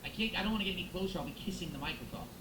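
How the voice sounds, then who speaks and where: A man's voice: high-pitched, very fast. A man live-streaming on a close microphone, chatting casually with viewers, thanking them and musing aloud as he goes. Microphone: close XLR microphone. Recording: echoey room with background noise.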